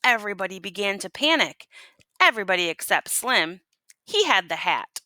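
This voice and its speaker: Animated, bright-voiced woman reading a story. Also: mid-range voice, normal speed, dry recording. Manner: expressive, folksy storytelling. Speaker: teacher reading a story aloud. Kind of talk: animated picture-book read-aloud to listeners.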